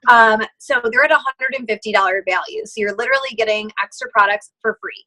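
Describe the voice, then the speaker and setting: A woman on a conference call giving a casual motivational sales pitch, earnest and encouraging in manner. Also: sing-song, a little fast, creaky, sharp tone, low-quality recording. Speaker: sales team member.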